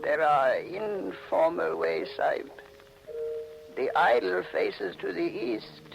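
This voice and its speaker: An old, servile mystic speaks in formal, cryptic phrases with quiet menace. The voice is fairly high for a man and creaky, drawn out very slowly, with music behind it on an old 1940s radio mystery recording. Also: animated, exaggerated voice, poor audio. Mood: ominous, servile. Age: older. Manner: formal, slow, cryptic speech. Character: bony old mysterious servant mystic, softly ominous and servile.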